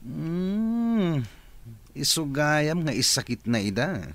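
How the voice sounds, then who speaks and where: An actor playing a calm, reassuring man in a radio drama. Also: on the high side, takes it slowly, no echo, heavily acted.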